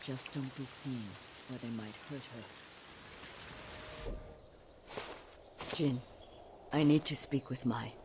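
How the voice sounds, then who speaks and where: A woman's voice: a bass voice, moderate pace, noisy background. Grave older samurai noblewoman. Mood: guarded. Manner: grave, commanding. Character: stern grieving older samurai noblewoman seeking vengeance. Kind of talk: dramatic video game acting.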